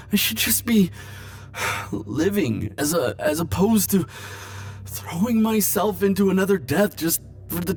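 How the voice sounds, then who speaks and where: A man's voice: high voice, heavily acted. Man acting a lovesick immortal, swinging with feeling. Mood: desperate, pleading. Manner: halting, emotional, stammering confessions with soft wry asides. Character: lovesick immortal man, flirtatious, desperate, world-weary. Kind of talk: romantic audio roleplay, intimate dramatic acting.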